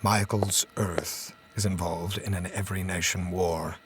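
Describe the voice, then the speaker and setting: An actor playing an obsessed, earnest and grave scientist in a radio drama. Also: male voice, low-pitched, fairly even intonation, a little slow, background noise. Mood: urgent, foreboding.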